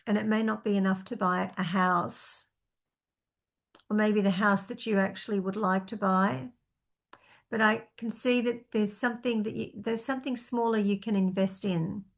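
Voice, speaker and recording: A woman's voice: medium pitch, steady tone, normal speed, vocal fry. A woman giving psychic readings to viewers, reading out their questions and answering tentatively, with many fillers as she thinks aloud. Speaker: psychic reader.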